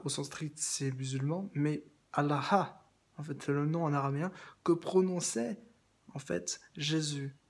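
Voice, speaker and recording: A man with a husky, slightly breathy voice talks straight to one absent listener, addressing him by name and inviting him over. He rambles, circles back to the same phrases and restarts his sentences often. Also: a little high. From France. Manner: meandering, repeats himself, many restarts and fillers. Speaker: video blogger addressing someone. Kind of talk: rambling direct-address monologue.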